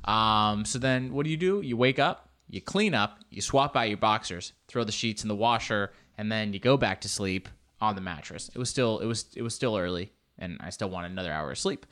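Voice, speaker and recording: A man's voice: fairly low pitch, fairly quick, hardly any pauses. A man in his late twenties telling a comedic story in a rambling, casual way, swearing often. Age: 20s. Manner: rambling, animated, swears a lot.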